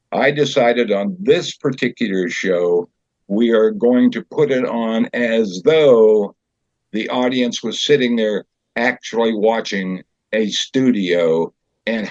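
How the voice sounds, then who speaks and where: A man explaining a theater show as its director in an interview, in a conversational, halting way. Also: medium pitch, no room sound. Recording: dull, lo-fi sound.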